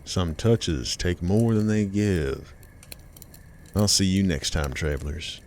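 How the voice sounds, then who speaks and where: An American man narrating a scripted horror tale in a deliberate, ominous, folksy campfire storytelling manner. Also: sits low, a little mellow, slight background noise. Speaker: storyteller host of a horror series. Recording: music bed behind the voice. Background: music.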